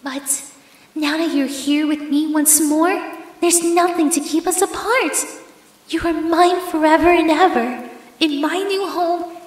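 Yandere ex-girlfriend, high voice, slow, sugary yet commanding, possessive, menacing, horror audio roleplay. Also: fairly even intonation. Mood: sweetly possessive. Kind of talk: ASMR horror roleplay acting. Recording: echoey, long hall-like reverb.

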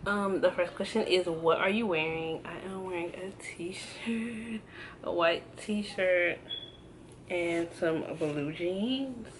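A young woman in her twenties talks to her camera at a slow, unhurried pace, filling gaps with ums and restarts. Her voice sits a little low, and the recording carries a faint background hiss. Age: 20s. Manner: relaxed, hesitant, many ums and restarts. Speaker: vlogger. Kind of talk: casual tag video chat. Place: indoors at home, in front of a backdrop. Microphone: camera framed close, seated.

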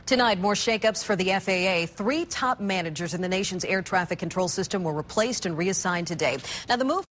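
A woman's voice: mid-range voice, expressive, brisk, a bit bright, a little hiss or hum. A middle-aged American woman working as a news anchor, conducting a broadcast interview in a studio.

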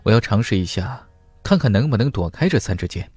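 Young male warrior hero, voiced by a male narrator: resolute, level-headed, fairly low, fantasy audiobook. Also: expressive, brisk, darkish tone, husky, no echo, faint music behind. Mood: focused command.

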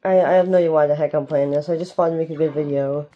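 A young man from Canada giving rambling, casual gameplay commentary with a sore, strained throat, recorded on a phone filming a TV in a dark room at home. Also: on the high side, steady tone, fast talker, dull audio. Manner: rambling, keeps asking "alright?". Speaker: gaming YouTuber.

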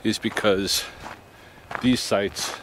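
A middle-aged man talking casually outdoors into a close camera, halting and reflective, with long pauses as he thinks aloud. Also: on the low side, steady tone, fairly bright tone. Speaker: vlogger on a night hike. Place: outdoors at night, open field. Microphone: camera held close to face. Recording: windy outdoor camera mic, noisy. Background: wind noise.

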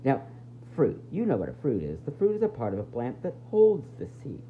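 Very low-voiced woman teacher, lively read-aloud. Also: fairly quick, narrow, tinny audio, a little hiss or hum. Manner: expressive, explanatory, questioning the listeners. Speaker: teacher reading to young pupils. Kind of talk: read-aloud of a children's nonfiction book with explanations.

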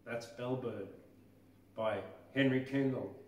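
Older male poetry reader, slow and declamatory, roomy distant sound. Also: mid-range voice, slight background noise. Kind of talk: poetry recitation with spoken introduction. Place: mural-backed wooden stage area. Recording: roomy echo, distant microphone.

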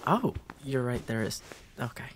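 A young man playing a gentle, protective, playful big brother in a comfort roleplay, speaking in a hesitant, soothing way. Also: medium pitch, big pitch swings, a little hiss or hum, close to an everyday voice. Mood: soft, lightly teasing. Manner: hesitant, soothing, halting delivery. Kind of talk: intimate comfort roleplay audio.

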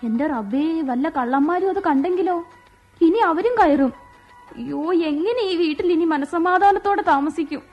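An actress playing a quick-tempered young wife who snaps, sulks and whimpers. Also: female voice, high-pitched, highs cut off, faint music behind. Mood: annoyed. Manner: snappy, sulking, whimpering. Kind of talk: radio play acting.

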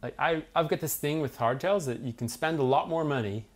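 A man's voice: a little high, fairly quick. A British man in his thirties, a bike magazine reviewer, chatting eagerly in an office studio with lots of "like" and "basically". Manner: enthusiastic, animated, many "like" and "basically" fillers. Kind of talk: casual review chat. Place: small office studio indoors.

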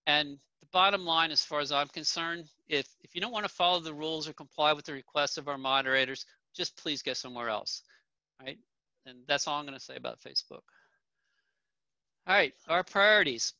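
A middle-aged man leads an online town hall from his home office, his very bright voice sitting a little high. He talks plainly, with ums and restarts along the way. The webcam sound is dull and dry. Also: a little fast. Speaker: association president presenting at a town hall. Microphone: video call webcam. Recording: dull, dry video call audio.

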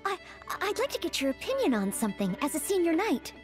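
A woman's voice: very high voice, very animated, fast. A woman voicing a polite, earnest young maid who wants to be a knight, in courteous, hesitant video game dialogue. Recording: game music behind the voice.

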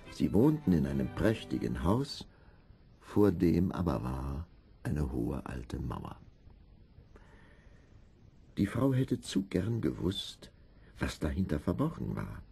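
A man narrating a scripted fairy tale in a measured, expressive storytelling manner. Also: extremely low pitch, vocal fry.

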